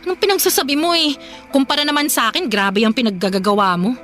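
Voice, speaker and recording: Casting: sensitive, reproachful Filipino younger sister, radio drama, high pleading voice, light music underneath. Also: female voice, fast talker, a bit bright. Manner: pleading, scolding, questioning.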